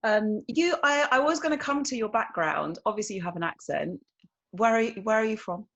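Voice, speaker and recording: A woman hosting a podcast interview, chatty and quick to laugh. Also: mid-range voice, expressive, a bit bright, highs cut off. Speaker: podcast host. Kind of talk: casual interview chat.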